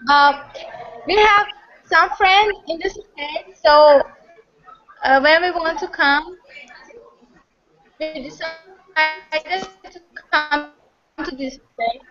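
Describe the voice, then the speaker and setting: High-voiced Persian woman student, very slow and halting on a muffled call line. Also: faint music behind. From Iran. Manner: hesitant learner, halting with fillers. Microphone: video call. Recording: muffled video-call audio.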